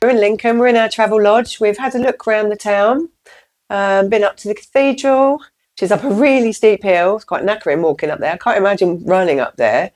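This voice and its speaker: Woman from England, croaky with a cold, casual chatty vlog delivery, middle pitch. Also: brisk, a little mellow, soft background music. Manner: chatty, with fillers and light laughs. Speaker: vlogger.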